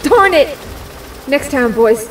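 A woman's voice: high-pitched, lively intonation, slow, a little hiss or hum. A woman playing a tough young mutant heroine with a casual, folksy, direct manner. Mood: frustrated.

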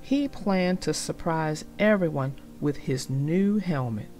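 A woman narrator reading aloud for young children in a deliberate, expressive way. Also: low-pitched, faint music behind.

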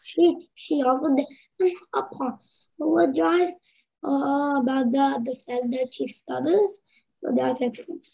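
High, gravelly woman giving a slow keynote. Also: mellow, dull audio. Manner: measured, repeats words as she explains. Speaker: keynote speaker. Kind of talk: conference keynote commenting on video clips. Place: conference hall stage at a podium. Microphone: podium microphone.

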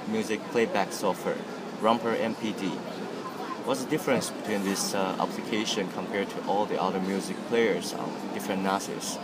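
A man narrating a product demonstration as its presenter. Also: fairly low pitch. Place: indoor trade show demo room. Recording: noisy room, air-conditioning hum.

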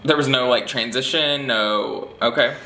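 A young man in his early twenties pokes fun at his younger self in a bright, sharp voice. He talks casually at his kitchen counter, and the hard room gives his voice a noticeable echo, with a faint hum behind him. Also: medium pitch, unhurried. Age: early 20s. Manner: wry, self-mocking. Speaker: vlogger reacting to an old video. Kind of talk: casual reaction commentary. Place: kitchen in an apartment.

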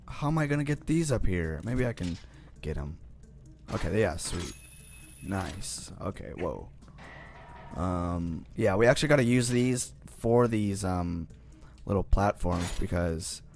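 A young man with a raspy morning voice giving casual let's-play commentary as he plays, often groaning in frustration at the game. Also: low-pitched, a little mellow. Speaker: gaming channel host.

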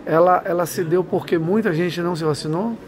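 A man's voice: high-pitched, brisk, noisy background. A Brazilian man interviewing a doctor, asking questions hesitantly and repeating words. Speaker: blog interviewer.

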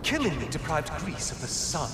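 An actor playing a bitter, mocking slain god in a video game, sardonic, theatrical and accusing. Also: male voice, on the high side, sing-song, normal speed. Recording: reverberant hall, loud game music.

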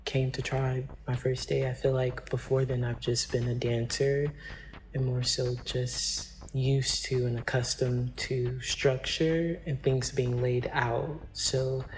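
A young dancer from Chicago talks casually, letting his thoughts ramble. His voice sits at an ordinary middle pitch. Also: level tone, slow, darkish tone. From Chicago, Illinois. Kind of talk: casual interview talk.

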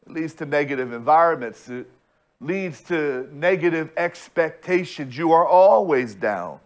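A man's voice: fairly high pitch, expressive, a little slow. A man in his thirties preaching a sermon as pastor from a church stage, repeating his points with emphasis and frequent restarts. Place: church stage with bright screen backdrop.

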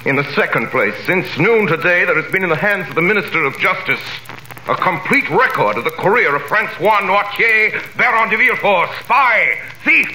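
Edmond Dantes, vengeful count. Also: male voice, sits high, animated, moderate pace, slight background noise, full character voice. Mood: accusing. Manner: commanding, theatrical, swings from cold hush to thundering. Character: vengeful nobleman, a wronged man returned in triumph. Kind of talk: 1930s radio drama acting. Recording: old radio recording in a roomy space.

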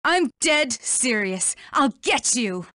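Fiery, high-voiced fox inspector. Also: female voice, big pitch swings, takes it slowly, pushed and bright, no echo, studio quality, heavily acted. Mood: defiant, furious. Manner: defiant, threatening. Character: fiery, hot-tempered female fox police inspector. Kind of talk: video game voice acting.

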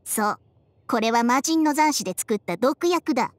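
A woman's voice: on the high side, animated, normal speed, no room sound, studio quality, close to an everyday voice. A woman voicing a small, worldly female snake spirit in an anime game, with a brusque, knowing manner. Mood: grave, knowing.

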